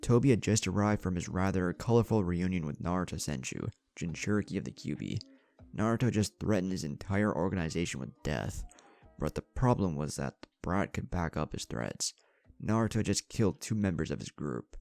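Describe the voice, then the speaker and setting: A man's voice: low-pitched, lively intonation, brisk, gravelly, darkish tone. A man reading a scripted story as a narrator, acting out the dialogue between passages. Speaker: narrator of fan fiction stories. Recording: music behind the voice. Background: background music.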